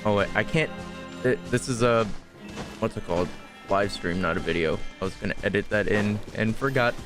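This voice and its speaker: Young male streamer, low voice, offhand and rambling gameplay chat, game music behind. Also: normal speed. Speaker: live streamer. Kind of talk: casual gameplay commentary.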